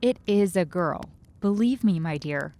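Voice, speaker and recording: A woman's voice: lowish voice, animated, a little slow, dark tone, faint music behind. Woman playing a scheming serpent mother. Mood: insistent, scheming. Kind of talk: children's fairy tale dramatization.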